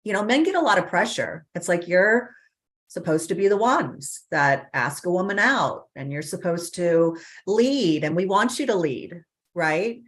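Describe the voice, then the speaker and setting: A woman's voice: lowish voice, measured pace. A middle-aged woman on a live stream interview, talking earnestly with many casual fillers as a dating coach. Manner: earnest, animated, many fillers like "you know". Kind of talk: conversational interview. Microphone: remote call on a live stream.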